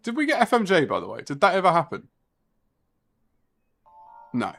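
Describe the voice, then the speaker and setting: A man's voice: sits high, wildly swooping pitch, normal speed, gravelly, fairly bright tone, soft background music. A man in his thirties streaming a racing game live, chatting casually and rambling with a laugh now and then. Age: 30s. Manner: chatty and rambling, laughs often, talks to chat.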